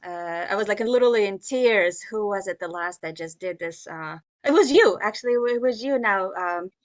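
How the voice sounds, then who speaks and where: A woman's voice: mid-range voice, normal speed. A woman with a Russian accent, an amateur or stage reader chatting casually, effusive and stumbling over her words. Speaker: actor in a play reading. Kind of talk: casual chat.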